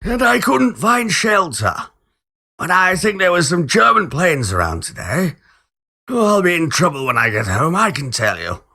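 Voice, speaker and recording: Man putting on a high-voiced, muddled old gentleman. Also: big pitch swings, moderate pace, vocal fry, heavily acted. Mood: fretful. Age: late sixties. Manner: hesitant, old-fashioned politeness. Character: polite, muddled little old man, wartime ghost.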